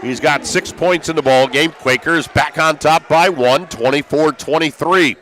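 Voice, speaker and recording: A man's voice: a little high, unhurried, fairly bright tone. A man calling live basketball play-by-play as a sports broadcaster. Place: high school basketball gym. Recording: crowd and gym noise behind. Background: gymnasium crowd, bouncing basketball.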